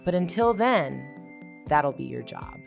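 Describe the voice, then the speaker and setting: A woman plays a confident young team leader in a hero cartoon. Her voice is low with a gravelly fry and swings expressively up and down as she looks after her crew. Music plays underneath. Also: expressive, slow pace, exaggerated voice. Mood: earnest, encouraging. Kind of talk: cartoon comic dub acting.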